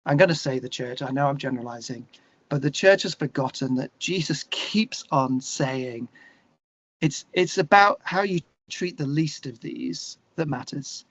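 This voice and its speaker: A middle-aged man speaking earnestly and haltingly as an interview guest, reflecting in a conversational way with emphatic stresses. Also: mid-range voice, animated, a bit bright, dry recording. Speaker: guest, singer-songwriter. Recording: slightly dull, lo-fi conversation audio.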